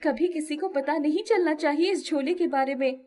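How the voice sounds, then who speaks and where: A woman's voice: high-pitched, moderate pace, darkish tone, voice pushed up, close to an everyday voice. A woman voicing a humble, secretive village fruit seller in a storybook cartoon, earnest, exclamatory and easily flustered. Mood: brisk, eager.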